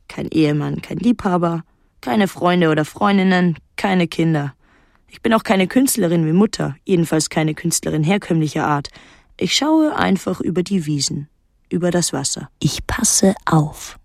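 An actress playing a solitary, watchful island woman, narrating a literary radio drama in a measured, restrained and introspective way. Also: fairly low pitch.

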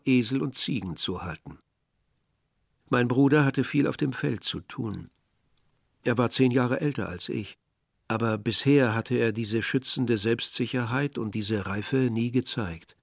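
An actor playing a reflective first-person narrator of a village youth, measured and pensive in a literary radio play. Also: male voice, medium pitch, vocal fry, no room sound, clean studio sound.

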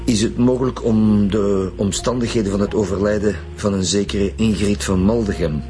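An actor playing a stern, insistent police commissioner with a Flemish accent and a formal, reproving manner in a radio drama. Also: male voice, fairly low pitch, steady tone, moderate pace, mellow, soft background music, natural voice. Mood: businesslike. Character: stern, indignant male police commissioner leading inquiries.

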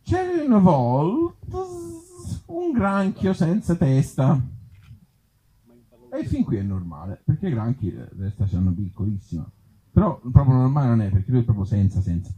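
A man's voice: medium pitch, sing-song, moderate pace, dark tone, husky, low-quality recording. A man reading his own absurd short stories live, breaking off into conversational, self-deprecating asides to the audience. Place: live outdoor festival event before an audience.

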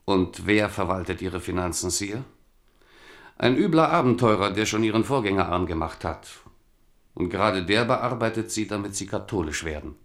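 Low-voiced aggrieved old-world soldier-poet. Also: male voice, lively intonation, normal speed. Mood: bitter, reproachful. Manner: declamatory, formal address. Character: aggrieved loyal soldier-poet, devout, blunt, melancholy. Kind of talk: German radio drama acting.

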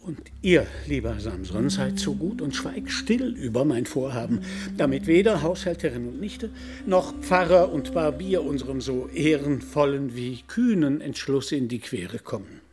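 An actor playing a proud, idealistic knight-errant in a radio drama, speaking in a courtly, earnest manner. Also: male voice, mid-range voice, expressive, normal speed, lightly acted. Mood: resolute, solemn.

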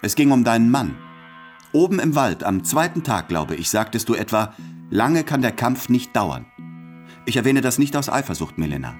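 An actor playing an earnest, insecure man in love in a radio play, speaking carefully and haltingly. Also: mid-range voice, sing-song, faint music behind, natural voice. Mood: hurt restraint. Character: earnest, insecure lover pleading with his beloved. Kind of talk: intimate German radio play dialogue.

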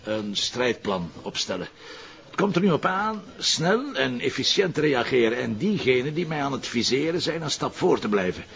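A man with a Flemish accent playing a brisk, wry police inspector in naturalistic TV drama dialogue, protective of colleagues. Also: medium pitch, sing-song, vocal fry, a bit bright. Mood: brisk, commanding. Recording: dull lo-fi TV soundtrack.

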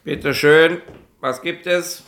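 An actor playing a gruff, officious police inspector in a 1960s radio play, pedantic and sarcastic in colloquial bureaucratic bluster. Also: male voice, a little high, steady tone, slow pace, fairly bright tone. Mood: impatient, snappish.

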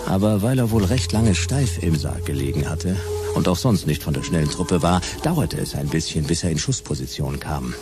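A man playing a hard-boiled detective who narrates his case in laconic, deadpan, clipped phrases for a radio play. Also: low voice, moderate pace, music in the background. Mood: deadpan, dry. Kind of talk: noir first-person radio play narration.

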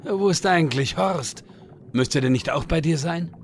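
Smug, creaky wizard played by a man. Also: fairly high pitch, measured pace, slight background noise. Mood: scheming. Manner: condescending, mock-solemn. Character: smug, scheming male wizard in online game parody. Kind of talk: comedic audio drama acting.

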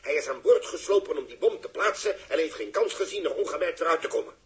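A man acting a stern space-mission official in a 1960s radio play. His raised, animated voice swings widely, emphatic and reproachful as he gives orders. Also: on the high side, fast talker, natural voice.

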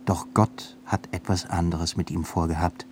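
Deep-voiced solemn male narrator. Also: fairly even intonation, darkish tone, slight background noise. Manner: measured, solemn storytelling. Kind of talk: radio drama narration.

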